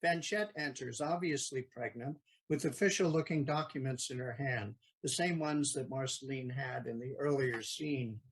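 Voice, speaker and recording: A man's voice: medium pitch, moderate pace, few pauses, breathy edge, low-quality recording. A man reading scripted stage directions as the narrator of an audio play.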